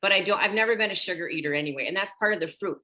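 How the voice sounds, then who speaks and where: A woman's voice: medium pitch, very fast. A woman in her sixties, a women's health coach, chatting animatedly on a group video call and talking straight to the group. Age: 60s. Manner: animated, talks straight to the group as "you guys".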